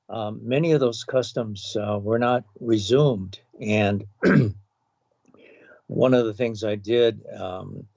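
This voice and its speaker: This is a man in his seventies from Hawaii, speaking slowly and thoughtfully as a podcast guest, pausing often as he remembers. His voice sits low with a gravelly edge. The recording is dull and dry, with a lo-fi feel. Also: darkish tone, dry recording. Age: 70s. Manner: measured, with frequent um and uh pauses. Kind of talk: thoughtful reminiscing interview.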